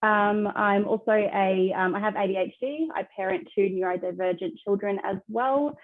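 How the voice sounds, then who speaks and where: A woman's voice: mid-range voice, moderate pace. Woman, recovering from a cold, tinny call audio. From Australia. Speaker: accountant and financial coach, panelist. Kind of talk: video call panel introduction. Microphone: wireless earbuds on a video call.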